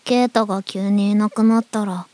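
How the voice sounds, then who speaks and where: A woman's voice: medium pitch, steady tone, normal speed, warm tone, dry recording, lightly acted. A woman playing a gloomy, listless young child with a downcast drawl in an audio drama.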